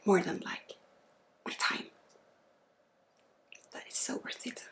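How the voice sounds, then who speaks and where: A woman gives a spiritual ASMR healing session, speaking softly and informally with pauses and often dropping into a breathy whisper. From Sweden. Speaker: spiritual healer and ASMR host.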